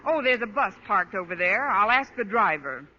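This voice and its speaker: An actress playing a caustic, wisecracking high school English teacher with dry, sarcastic delivery in a 1940s radio sitcom. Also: female voice, very animated, normal speed, bright, forceful tone, slightly rough, full character voice. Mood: wry, sarcastic. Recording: old radio broadcast, dull, very noisy.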